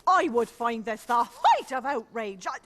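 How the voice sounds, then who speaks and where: A woman's voice: on the high side, huge pitch swings, unhurried, a bit bright, heavily acted. A woman voicing a prim, scandalised female farm animal in a cartoon, indignant and dramatic as she gossips. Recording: music behind voice.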